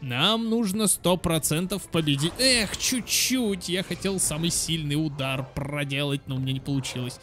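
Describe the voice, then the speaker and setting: High-voiced young man, chatty gamer. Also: normal speed, few pauses, fairly bright tone, faint music behind. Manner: chatty, exclamatory, addresses viewers as friends. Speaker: gaming channel host. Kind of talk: casual game commentary for children.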